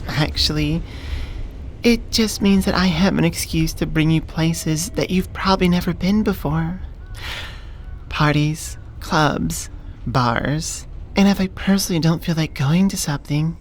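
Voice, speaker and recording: A woman playing a smitten, businesslike elf manager in a soft-spoken ASMR roleplay, earnest and deliberate. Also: low voice, slight background noise. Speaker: voice actor.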